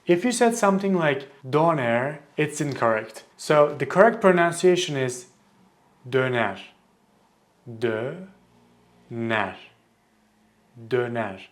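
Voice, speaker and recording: A man with a Turkish accent, a Turkish teacher giving a language lesson, speaking clearly and deliberately and slowing down to model words. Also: mid-range voice, very animated.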